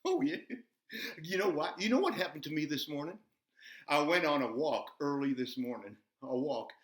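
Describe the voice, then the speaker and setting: A man voicing an excitable, folksy, bumbling buzzard who hosts a children's show, rambling and repeating himself as he chats. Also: fairly high pitch, moderate pace, roomy sound. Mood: excited, boastful. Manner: folksy, repetitive, easily flustered, giggly exclamations. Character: excitable, folksy, bumbling old farm buzzard puppet host.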